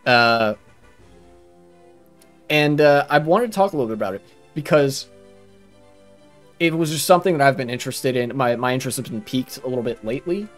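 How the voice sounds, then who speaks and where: A man's voice: medium pitch, expressive, brisk, long pauses. A young adult man, rambling casually and earnestly as he explains things during a game stream. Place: small room at home, indoors. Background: game music.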